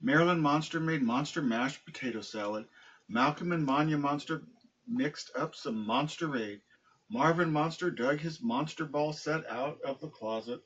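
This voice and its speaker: A man with a husky voice reading a children's picture book aloud. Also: a little high, moderate pace, fairly bright tone, dull audio. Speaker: storybook reader, dog owner.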